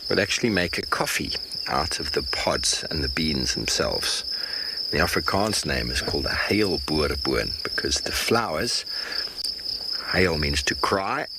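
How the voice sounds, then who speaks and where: A man working as a safari guide, giving relaxed, explanatory live commentary from an open vehicle in the bush at dawn. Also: extremely low pitch, unhurried. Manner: relaxed, explanatory, mild self-correction. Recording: loud outdoor insect noise. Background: loud insects and crickets.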